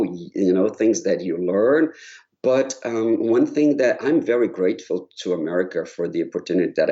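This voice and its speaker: A Portuguese-accented man with a very low, mellow voice recalls his path in a relaxed, halting way, often restarting his sentences. The recording sounds a bit dull and lo-fi. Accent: Brazilian Portuguese. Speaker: choral conductor guest. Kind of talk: casual interview conversation.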